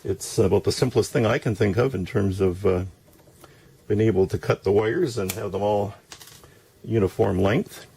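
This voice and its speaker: A man giving a casual, halting, step-by-step demonstration of a hands-on job. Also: fairly low pitch, creaky, warm tone, husky. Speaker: DIY demonstrator.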